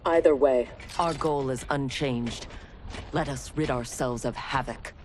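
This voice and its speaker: An actor playing a resolute warrior in a video game, speaking in a firm, pragmatic way. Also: male voice, medium pitch, measured pace, natural voice. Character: resolute male warrior, businesslike ally. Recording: clear noise behind the voice.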